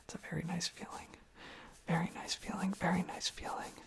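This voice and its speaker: Someone rambling softly in a breathy, husky ASMR whisper close to the microphone, casually repeating little phrases. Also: mid-range voice, flat delivery, a little fast. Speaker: ASMR video creator.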